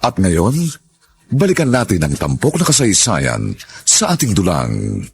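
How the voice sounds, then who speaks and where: A man announcing a radio drama in a formal, measured style. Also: low voice, huge pitch swings, creaky.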